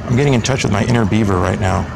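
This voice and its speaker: A man's voice: fairly low pitch, very fast, vocal fry. An American man giving a casual, wry, rambling running commentary with joking asides as he films outdoors on his camera. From Texas, United States. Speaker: video blogger filming a public-space audit. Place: outdoors on a public sidewalk by a road. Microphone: handheld camera microphone, operator behind camera. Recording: outdoor handheld camera with street noise. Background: passing vehicles and outdoor ambience.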